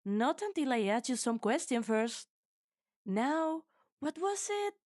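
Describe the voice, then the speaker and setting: A woman's voice: sits high, sing-song, slow, a little mellow, no echo, studio quality, heavily acted. A woman playing a brash, cocky, menacing young female mercenary in an amateur game fan dub, with a sardonic, teasing manner. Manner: sardonic, foul-mouthed, teasing.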